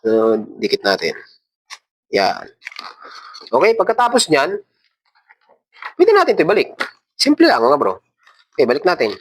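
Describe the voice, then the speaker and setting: A man's voice: on the high side, wildly swooping pitch, normal speed, pauses often. A man with a Filipino accent hosts an informal tutorial, talking casually and instructing step by step as he handles parts. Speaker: tutorial host. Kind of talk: casual hands-on tutorial talk.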